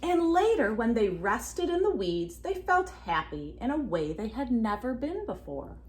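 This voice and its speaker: A woman reading a storybook aloud expressively to children, pausing for asides and questions to her young listeners. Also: a little high, slight background noise. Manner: animated, addresses children with questions. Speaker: children's read-aloud reader.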